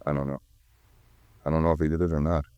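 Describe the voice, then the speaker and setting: An actor playing an evasive, cocky American small-time crook, slangy and defensive, in a 1950s radio crime drama. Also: male voice, extremely low pitch, level tone, fast talker, darkish tone, clean tone, no room sound, muffled recording. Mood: defensive, nervous.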